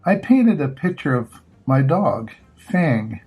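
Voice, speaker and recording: A man's voice: mid-range voice, big pitch swings, takes it slowly, slightly rough, dull audio, faint music behind, full character voice. An older man reading the lines of a young girl in a storybook, plain-spoken and direct, with simple childlike phrasing. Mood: plain, explaining.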